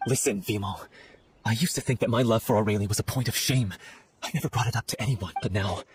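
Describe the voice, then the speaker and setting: An actor playing a hot-headed, grieving lovelorn stage performer, intense and melodramatic, in a video game. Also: male voice, lowish voice, quick pace, a little hiss or hum, lightly acted. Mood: solemn resolve. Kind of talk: dramatic video game acting.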